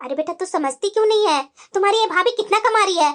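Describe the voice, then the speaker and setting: A woman's voice: very high voice, animated, fast, fairly bright tone. A woman voicing an older mother-in-law in a family cartoon drama, reasoning and admonishing as a firm peacemaker. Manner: admonishing, coaxing. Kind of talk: Hindi family drama storytelling.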